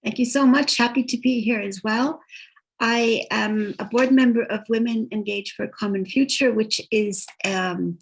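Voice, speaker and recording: Husky, gravelly woman panelist. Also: mid-range voice, low-quality recording. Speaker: panelist, NGO board member. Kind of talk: conference self-introduction.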